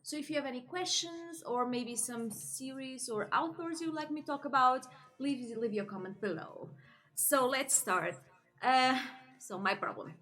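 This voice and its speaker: A woman's voice: high-pitched, measured pace, fairly bright tone. A young woman from Portugal chatting casually to camera about her reading, with many fillers and frequent laughs. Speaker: book vlogger.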